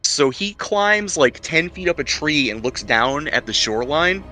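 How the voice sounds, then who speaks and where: A man narrating a tabletop roleplaying game as game master, improvising descriptions in a conversational, halting way. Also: fairly high pitch, lively intonation, sharp tone. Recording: music playing behind the voice.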